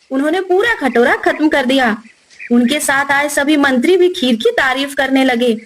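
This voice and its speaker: A woman's voice: sits high, a little hiss or hum, rough recording. A woman narrator reading a scripted children's moral story.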